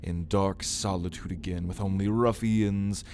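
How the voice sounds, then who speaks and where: A man playing a caged ancient vampire in an ASMR roleplay, refined and hungry, speaking in theatrical, menacing, archaic phrases with a breathy voice that often drops into a whisper. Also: sits low, steady tone, measured pace, a little mellow, a little hiss or hum. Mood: restrained, solemn. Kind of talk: ASMR roleplay monologue spoken to the listener.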